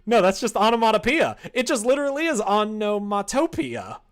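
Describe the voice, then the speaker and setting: A man's voice: high voice, sing-song, fairly quick. A man chatting casually on a live stream, rambling and self-amused as he thinks aloud. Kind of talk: casual chat on a live creative-writing stream.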